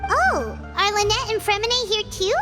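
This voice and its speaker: A woman voicing a small, excitable, easily spooked female fairy companion in a video game, squeaky and childlike, talking in exclamations. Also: normal speed, over music. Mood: cheerful, curious. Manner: exclamatory, refers to self in third person. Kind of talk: video game character dialogue.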